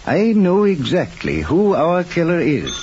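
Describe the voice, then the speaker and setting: An actor playing a calm, authoritative detective in an old-time radio drama, speaking in a measured, formal, courteous way. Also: male voice, fairly high pitch. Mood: assured. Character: calm, authoritative male detective, courteous but firm investigator. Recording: muffled, noisy old radio recording.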